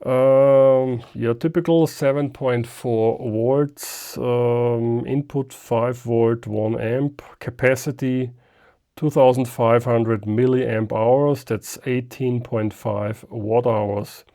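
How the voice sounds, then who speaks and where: A man presenting a casual, unscripted product unboxing, thinking aloud haltingly as he handles things. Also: medium pitch, fairly even intonation, mellow. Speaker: amateur radio video host.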